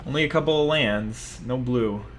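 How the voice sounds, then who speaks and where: A man's voice: mid-range voice, normal speed, slight background noise. A young man giving rambling, self-mocking commentary over his own card game, talking casually to viewers. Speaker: gaming video host.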